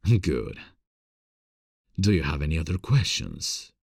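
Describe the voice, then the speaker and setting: Very deep, gravelly male theater director, acted by a man. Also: very animated, slow, no echo, studio quality, heavily acted. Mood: thoughtful coaching. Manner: gentle, encouraging, soft chuckles. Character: warm encouraging male theater director, secretly smitten with his actress. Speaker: voice actor. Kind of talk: scripted ASMR audio roleplay.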